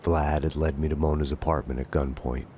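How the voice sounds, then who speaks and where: An actor playing a world-weary hard-boiled detective, narrating a noir game in a grim deadpan. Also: male voice, extremely low pitch, fairly quick, a little hiss or hum. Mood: brooding, grim. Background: music.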